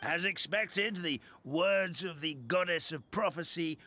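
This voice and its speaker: An actor playing an excitable, reverent old man in a fantasy video game, with a theatrical, halting delivery. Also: a little high, very noisy, close to an everyday voice. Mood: earnest. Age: older. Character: excitable old storybook townsman, earnest and reverent.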